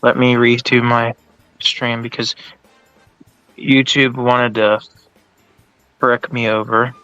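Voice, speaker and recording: A man co-hosting a livestream in informal, halting chat, often repeating himself. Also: mid-range voice, little pitch movement, pushed and bright, clean tone, dry recording, muffled recording, soft background music. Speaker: livestream co-host. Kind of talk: casual chat.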